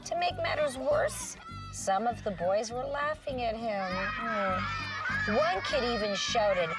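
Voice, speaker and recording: A woman's voice: a little high, expressive, slow, recorded from a distance, over loud music. A woman hosting a children's show, reading a storybook expressively with dramatic little interjections and questions for young listeners.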